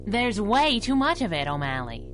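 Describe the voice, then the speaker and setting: A woman's voice: medium pitch, big pitch swings, moderate pace, faint music behind, close to an everyday voice. A woman playing a brash, sassy masked crime-fighting sidekick in a 1930s-style radio drama, with slangy delivery. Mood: wry.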